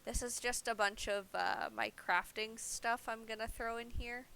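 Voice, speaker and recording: A woman casually chatting as she plays an online game on stream, playful, teasing and quick to swear. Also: a little high, steady tone, sharp tone, a little hiss or hum. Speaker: livestreamer playing an online game. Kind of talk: casual gameplay chat with a co-player.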